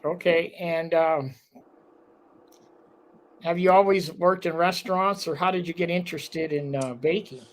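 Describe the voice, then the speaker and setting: A man's voice: a little high, fairly even intonation, unhurried, pauses often, poor audio. A man hosting a local talk show, chatting casually with a guest and rambling with lots of fillers.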